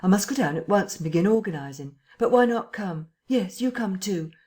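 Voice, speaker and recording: A woman reader voicing a decisive police chief, a man who speaks in brisk, clipped orders. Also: mid-range voice, animated, dark tone, pitched above the performer's own voice, close to an everyday voice.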